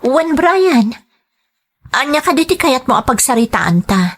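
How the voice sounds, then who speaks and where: A woman's voice: sits high, big pitch swings, unhurried, full character voice. A woman playing a guilty, apologetic young friend, pleading in melodramatic audio drama style. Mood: apologetic, defensive.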